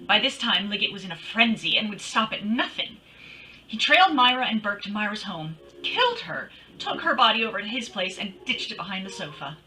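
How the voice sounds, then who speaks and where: A woman's voice: medium pitch, lively intonation, a little fast, sharp tone, far from the mic, soft background music, low-quality recording. An actress playing a wry, sassy female private detective, telling her case with dramatic flair in old-time radio style. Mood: matter-of-fact.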